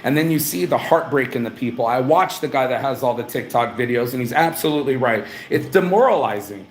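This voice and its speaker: A man's voice: medium pitch, expressive, brisk, a little hiss or hum. A man from New Orleans giving a blunt, rambling, profane live travel update from a hotel room. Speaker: vlogger. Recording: echoey room with faint ambience.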